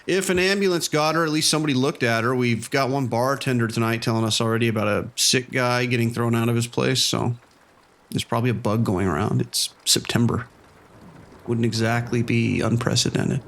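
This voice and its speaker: A man playing a dry, cautious vice sergeant in a police radio drama, giving orders with deadpan authority. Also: mid-range voice, fairly quick, natural voice. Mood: dry, dismissive.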